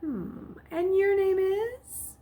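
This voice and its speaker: A woman voicing a brisk, sceptical school nurse in a storybook, questioning and wry. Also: very high voice, very animated, warm tone, pitched above the performer's own voice, exaggerated voice. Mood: businesslike, doubtful. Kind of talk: storybook character voice. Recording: clearly noisy background.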